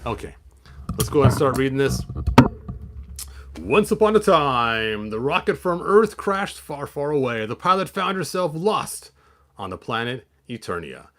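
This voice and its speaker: A man's voice: mid-range voice, very animated, normal speed, a bit bright, a little hiss or hum. A man hosting a toy collector channel, chatting in a rambling way with many fillers and restarts, then reading a picture book aloud.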